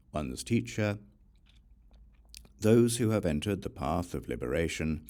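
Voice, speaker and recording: A man reading scripture aloud as an audiobook narrator, measured and deliberate, with pauses between phrases. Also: deep voice, vocal fry, darkish tone. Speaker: audiobook reader.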